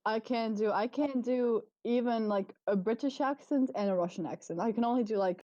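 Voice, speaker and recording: A woman chats on a livestream, laughing often and making fun of herself. Her voice sits a bit high but mellow in tone. It comes through a dry, dull, lo-fi online call. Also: moderate pace, no room sound. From Croatia. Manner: giggly, laughs often, self-mocking. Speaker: livestream co-host. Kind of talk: casual livestream chat. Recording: dull lo-fi stream audio.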